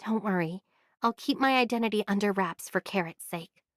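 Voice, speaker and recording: A woman voicing a calm, curious young adventurer in an anime video game, speaking in a measured, sincere way. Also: medium pitch, no room sound, studio quality, close to an everyday voice. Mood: sincere, steady.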